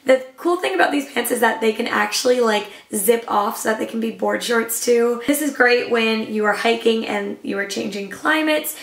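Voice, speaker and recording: Young woman travel vlogger, slightly hoarse, enthusiastic and chatty, echoey room. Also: on the high side, lively intonation, normal speed, few pauses. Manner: enthusiastic, chatty, showing items. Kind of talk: casual upbeat vlog talk to camera.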